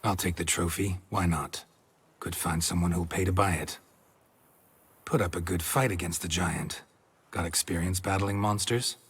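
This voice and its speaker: An actor playing a stoic, gruff monster hunter in a video game, with a clipped, deadpan delivery in a gravelly growl. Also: male voice, low voice, moderate pace, exaggerated voice. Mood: dry, curious. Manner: clipped, deadpan, drops subjects from sentences. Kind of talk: video game cutscene acting.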